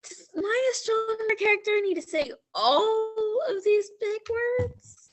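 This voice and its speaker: A young woman playing a squeaky, clueless actress. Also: takes it slowly. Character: dim-witted young actress, sweetly clueless. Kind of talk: school stage play acting.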